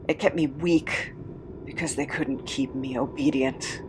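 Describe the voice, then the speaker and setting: A woman in an audio drama playing a weary, frightened captive who speaks haltingly and pleads. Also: a bass voice, fairly even intonation, a little hiss or hum. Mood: bitter. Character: weary, frightened captive with a draining supernatural hunger.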